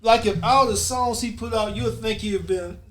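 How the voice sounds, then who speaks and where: A man's voice: sits high, brisk, a bit bright. A man in a casual group discussion, blunt and slangy, swearing and repeating himself to get a word in. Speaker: podcast panelist.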